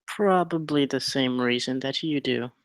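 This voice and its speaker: Casting: male actor for wry, uncaring opium-den keeper, medium pitch, close dry recording, fantasy script read. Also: measured pace, dry recording, natural voice. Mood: knowing, cautioning. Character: detached, wry male drug-den dealer with many hands. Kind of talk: screenplay table read.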